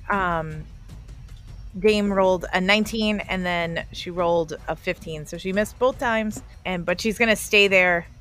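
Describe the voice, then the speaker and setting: A woman playing a tabletop game, calmly explaining her character's actions. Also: fairly low pitch. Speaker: player. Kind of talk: casual tabletop play.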